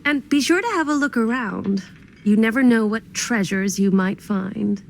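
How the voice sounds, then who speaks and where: A woman's voice: on the high side, normal speed, gravelly, a little hiss or hum. An actress playing a courteous, sly older woman who keeps a cluttered curio shop, in a genteel, coaxing way for a video game. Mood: inviting, genteel. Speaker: shopkeeper.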